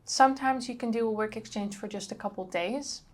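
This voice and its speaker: A woman's voice: on the high side, a little fast. A young woman from the Netherlands with a Dutch accent, chatting casually to camera as a travel vlogger, with frequent ums and likes.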